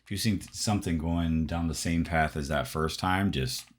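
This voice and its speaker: A man's voice: low-pitched, normal speed. A young man with a Wisconsin accent, giving easygoing, thoughtful advice as a guest on a casual podcast. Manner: easygoing, thoughtful, laughs easily. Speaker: guest co-host, the host's boyfriend.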